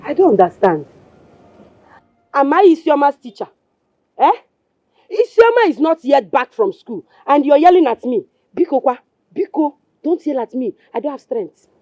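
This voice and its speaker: A woman's voice: sits high, very animated, normal speed, warm tone, no echo, dull audio. A woman playing a quarrelsome, spiteful village wife with a Nigerian accent, scolding and repeating herself insistently in film drama style. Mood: indignant. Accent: Nigerian Igbo-English. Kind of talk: Nollywood film drama acting.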